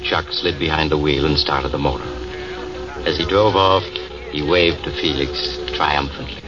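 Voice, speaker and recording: A man narrates an old radio drama in a measured, ominous way, and his voice is extremely deep. He sounds distant on a dull, lo-fi recording with loud music under him. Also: steady tone.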